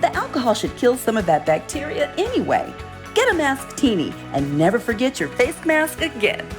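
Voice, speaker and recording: A woman's voice: fairly high pitch, wildly swooping pitch, moderate pace, over music. A woman reading a parody commercial in an upbeat, salesy voice. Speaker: ad announcer.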